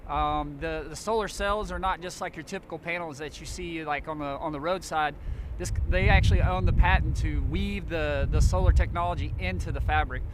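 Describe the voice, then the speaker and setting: High-voiced military man, noisy outdoor recording. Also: fairly even intonation, fairly quick. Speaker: military air traffic control senior sergeant. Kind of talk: unscripted explanatory briefing to camera. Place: outdoors on an air base.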